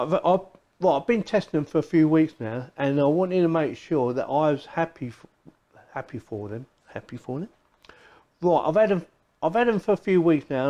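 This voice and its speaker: Mellow-voiced man chatting through a product review. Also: medium pitch, muffled recording. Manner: chatty, repeats phrases as he goes. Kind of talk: casual unscripted product review.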